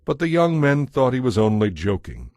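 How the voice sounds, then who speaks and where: A man's voice: mid-range voice, big pitch swings, moderate pace, darkish tone, no room sound, clean studio sound. A man giving scripted dramatic narration of a Bible story as the narrator.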